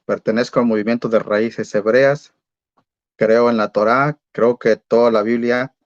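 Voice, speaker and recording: Mid-pitched man moderating, dry dull sound. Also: dry recording. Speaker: debate moderator and participant. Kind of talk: introducing a debate.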